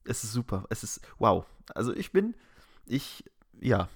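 A German man with a lowish voice and plenty of fry chats casually as a podcast co-host. The sound is dry and close, and his talk is colloquial and exclamatory. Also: very animated, a little slow, no room sound.

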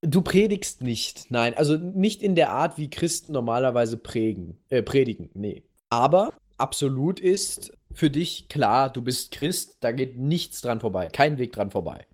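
A man hosting a podcast, telling a history story casually and playfully in a halting, slightly husky voice. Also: mid-range voice, expressive, darkish tone. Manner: halting, playful storyteller addressing co-host directly. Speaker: podcast host.

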